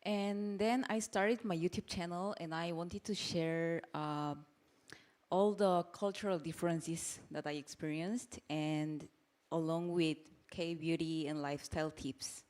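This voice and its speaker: A woman, a soft-spoken guest creator answering panel questions with some hesitation. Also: lowish voice, fairly even intonation, unhurried. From Korea.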